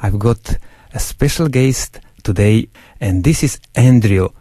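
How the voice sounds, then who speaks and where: A man's voice: mid-range voice, takes it slowly, warm tone, no room sound. A man with a European accent hosting a faith interview program, speaking hesitantly with many fillers. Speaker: host. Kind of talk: radio interview.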